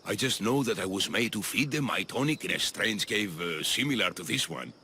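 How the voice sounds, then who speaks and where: An actor playing an eccentric, obsessive doctor in a video game, fussy and jittery, doting and often repeating himself. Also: male voice, fairly low pitch, expressive, fairly quick, pushed and bright, a little hiss or hum. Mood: defensive, regretful. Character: eccentric obsessive male doctor doting on his human pets. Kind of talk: video game character acting.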